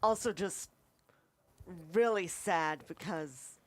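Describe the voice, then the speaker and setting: A woman's voice: fairly low pitch, slow, a little hiss or hum. A woman co-hosting a casual review chat, giving her opinions and corrections readily. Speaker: co-host reviewing TV episodes.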